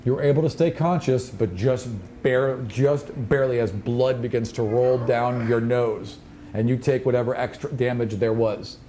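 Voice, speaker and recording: A man's voice: medium pitch, fairly even intonation, moderate pace, dark tone. A man running a tabletop roleplaying game, narrating scenes off the cuff in a conversational, vivid way. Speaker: game master. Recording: noisy room ambience behind voice.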